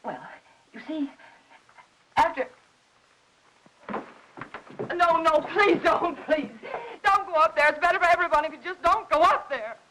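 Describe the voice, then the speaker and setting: An actress playing an anxious, distraught housewife in a mid-century film noir, speaking in halting, urgent phrases. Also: female voice, very high voice, pushed and bright, a little hiss or hum, full character voice, low-quality recording. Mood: desperate, distraught. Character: anxious, distraught housewife hiding her husband's death.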